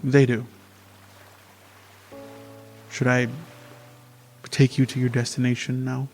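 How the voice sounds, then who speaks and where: A man playing a gentle, reassuring young taxi driver in an amateur radio drama, speaking haltingly. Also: mid-range voice, monotone. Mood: gentle, reflective. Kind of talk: amateur radio theatre acting. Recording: faint music behind the voice.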